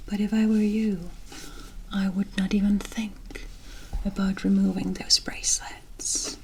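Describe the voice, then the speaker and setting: A woman voicing a mysterious, wry forest witch in a hushed, deliberate ASMR fantasy roleplay, with cryptic storybook phrasing. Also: medium pitch, a little hiss or hum, rough recording. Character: mysterious forest witch, wry, knowing, offering protection for favors.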